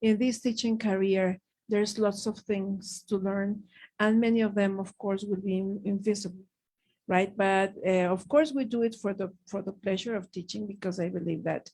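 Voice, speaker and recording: Husky, creaky-voiced middle-aged woman. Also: medium pitch, steady tone, moderate pace, darkish tone, poor audio. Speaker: teacher, seminar participant. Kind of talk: conversational seminar comment.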